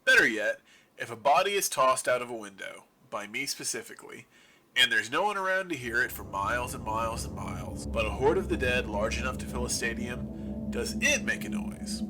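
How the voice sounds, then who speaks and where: A man's voice: medium pitch, pushed and bright, a little hiss or hum. A man playing a wry survivor of a zombie apocalypse in an audio drama, rambling to his listeners in a casual, sarcastic, self-deprecating radio monologue. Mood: wry, chatty.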